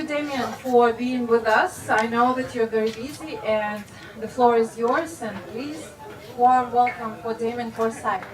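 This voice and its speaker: A woman hosting an event introduces a speaker, pausing often with fillers. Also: a little high. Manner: hesitant, many fillers. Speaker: event host. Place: open-air thatched pavilion. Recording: roomy, distant with clear background noise.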